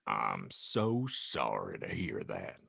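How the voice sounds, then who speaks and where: A man voicing a huge, bearded, gentle and friendly man with an amiable, slow manner for an audiobook story. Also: very deep voice, wildly swooping pitch, vocal fry, breathy edge, no room sound, studio quality, pitched below the performer's own voice, exaggerated voice. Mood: sympathetic.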